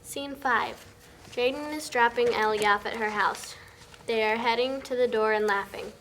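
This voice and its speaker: Higher-voiced woman reading stage directions, noisy recording. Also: normal speed, fairly bright tone. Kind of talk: scripted narration at a table read. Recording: noticeable background noise, amateur room recording.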